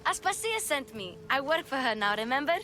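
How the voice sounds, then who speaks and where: A chatty, plucky little girl in a video game. Her voice is high and bright, and she blurts things out with eager pride. Faint music runs behind her. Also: very animated, fairly quick, exaggerated voice. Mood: proud, excited. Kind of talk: video game cutscene acting.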